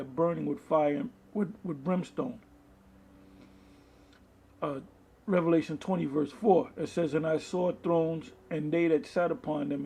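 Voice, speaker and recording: A man preaching from the Bible, reading verses aloud and explaining them in a halting, deliberate manner, with a husky, gravelly voice. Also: on the high side, darkish tone. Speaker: street-style Bible preacher. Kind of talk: Bible teaching with scripture read aloud and commentary.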